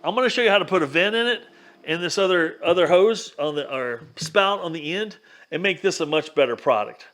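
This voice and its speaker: A man's voice: sits high, lively intonation, brisk, fairly bright tone. A plainspoken, folksy man hosting a casual hands-on DIY video, talking the viewer through each step. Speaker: vlog host giving a DIY demonstration. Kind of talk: casual hands-on how-to talk.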